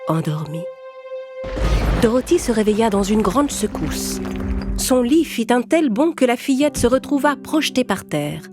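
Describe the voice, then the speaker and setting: A woman reading a scripted children's story as narrator. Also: mid-range voice.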